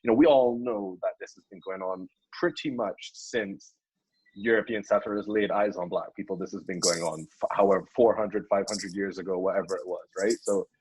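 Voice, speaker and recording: Husky young man, earnest. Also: sits low, moderate pace, darkish tone, no room sound, rough recording. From Caribbean. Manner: earnest, repeats words while thinking. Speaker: podcast guest. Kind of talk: casual podcast discussion.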